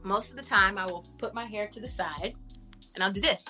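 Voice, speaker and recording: Woman guest, bright tense tone, candid and slangy, relaxed interview chat. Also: a little fast, soft background music. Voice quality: deep voice for a woman. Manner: frank, animated advice-giving with casual slang. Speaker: actress and artist guest. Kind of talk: casual podcast interview.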